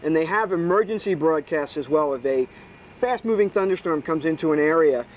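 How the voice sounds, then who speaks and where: A man's voice: fairly high pitch, animated, fairly quick, slight background noise, low-quality recording. A man giving casual, practical technical explanations outdoors as a hands-on expert. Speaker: boat rigger, expert guest. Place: outdoors on a boat.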